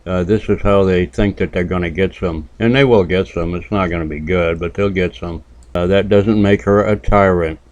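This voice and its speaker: Deep-voiced sardonic commentary host. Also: male voice, fairly even intonation, a little mellow, dry recording, muffled recording. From New Hampshire, US. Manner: dry, sardonic, drawn-out remarks. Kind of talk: casual reaction commentary.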